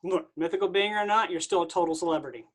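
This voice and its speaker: An actor playing a friendly, starstruck bartender with casual, chatty speech in a comic play reading. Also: male voice, high-pitched, fast talker, fairly bright tone.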